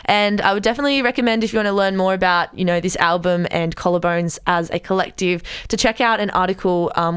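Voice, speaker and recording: A chatty woman co-hosts a radio music podcast from the studio. Her voice sits a little low and comes across smooth and clean, though her nose is somewhat blocked from a cold. She talks with easy enthusiasm and slows down when she describes a song. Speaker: podcast co-host. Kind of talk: casual radio podcast chat. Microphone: studio microphone.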